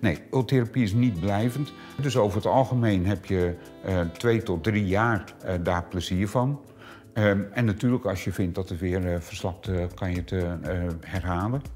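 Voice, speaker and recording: A man's voice: low voice, normal speed, a little mellow, soft background music. A middle-aged man who owns a clinic, explaining treatments with many hesitant filler sounds. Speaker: clinic owner. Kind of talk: explanatory interview answers. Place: small treatment room indoors.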